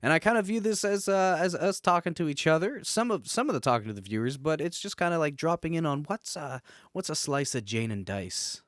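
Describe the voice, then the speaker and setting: A man's voice: a little high, very animated, a little fast, no echo, clean studio sound. A man co-hosting a podcast in casual, chatty banter, jokey and prone to rambling. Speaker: podcast co-host.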